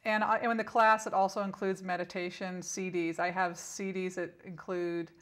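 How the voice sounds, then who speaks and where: A woman's voice: on the low side, flat delivery, normal speed. A woman speaking as an interview guest and spiritual teacher, explaining conversationally in an instructive, emphatic manner.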